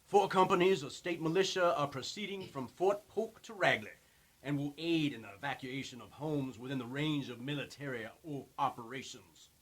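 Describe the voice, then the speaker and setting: A man's voice: fairly high pitch, normal speed, a bit bright. A man playing a stern, commanding army general making a formal proclamation in a radio play. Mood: stern, official. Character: stern, authoritative male army general declaring martial law.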